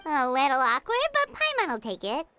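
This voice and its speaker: A woman voicing a tiny, excitable, childlike female fairy companion in a video game, squeaky and eager. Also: fairly quick. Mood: eager, curious. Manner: speaks of self in third person, eager. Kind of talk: video game character acting. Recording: game music under the voice.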